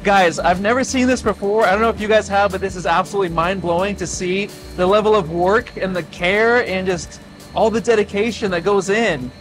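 A man in his thirties hosting a factory tour, talking casually and excitedly with a clip-on mic on a large factory floor. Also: high voice, a little fast, faint music behind, rough recording. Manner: excited, repeats "really cool". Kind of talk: casual enthusiastic tour chat.

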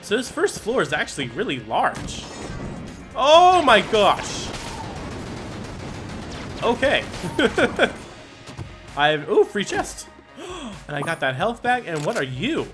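Young man, gameplay commentary, excitable, stammering, game music loud behind him. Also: high-pitched. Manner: excitable, exclaims often, stammers and restarts. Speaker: gaming YouTuber. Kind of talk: casual let's play commentary.

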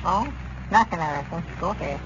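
Guy doing fussy old codger, really high voice, suspicious, querulous, hissy old radio show. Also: expressive, a little fast, lightly acted. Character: suspicious, cantankerous little old country storekeeper man. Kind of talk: 1930s-40s radio comedy acting. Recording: muffled, noisy old radio recording.

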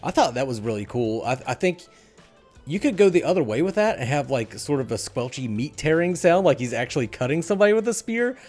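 A man's voice: mid-range voice, big pitch swings, brisk, a little mellow. A middle-aged man co-hosting a casual gaming discussion, speaking into a headset at home. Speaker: podcast host. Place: small room at home, on a group call. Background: music.

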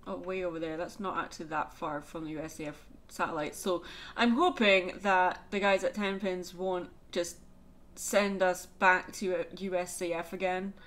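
A woman's voice: lowish voice, steady tone, fairly bright tone. A young woman hosting a game let's play, chatting casually and narrating her own moves. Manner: chatty, self-narrating, quick laughs. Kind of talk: casual gameplay commentary.

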